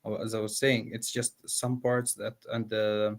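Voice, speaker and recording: Earnest man from Palestine, halting. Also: on the low side, flat delivery. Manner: earnest, hesitant, frequent fillers. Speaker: guest. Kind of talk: casual interview.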